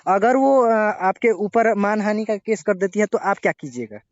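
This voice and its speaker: High-pitched man on a dull phone recording. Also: lively intonation, dry recording. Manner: argumentative, halting, interrupts to press his point. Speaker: interviewer questioning a singer over a phone call. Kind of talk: confrontational phone interview.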